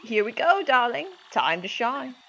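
A woman's voice: fairly high pitch, very animated, unhurried, pushed and bright, husky, muffled recording, full character voice. A woman voicing a glamorous, confident lady wind spirit for a game fan dub, in a grand theatrical manner.

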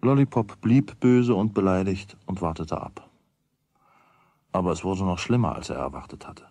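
A man with a husky voice narrating a children's radio play. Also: low voice, normal speed, mellow, no echo, full character voice. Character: storyteller of a children's tale.

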